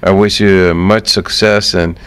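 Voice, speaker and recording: A man's voice: low voice, level tone, unhurried, fairly bright tone, smooth. A man with an American accent hosting a conversational radio interview in an easygoing, encouraging way. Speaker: radio show host.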